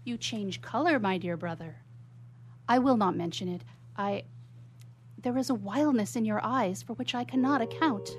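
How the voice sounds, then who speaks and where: An actress playing a tender, worried young sister in a radio melodrama, speaking formally and solicitously. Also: female voice, a little high, moderate pace, husky. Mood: pleading worry. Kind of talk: melodramatic 19th-century stage acting in radio theatre.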